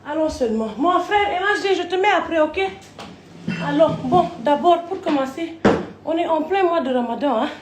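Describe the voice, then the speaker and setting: A woman's voice: sits high, lively intonation, normal speed, slight background noise. A woman from Côte d'Ivoire ranting in a rambling, crude way, swearing as she tells a long personal story. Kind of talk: rambling live rant. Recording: roomy echo.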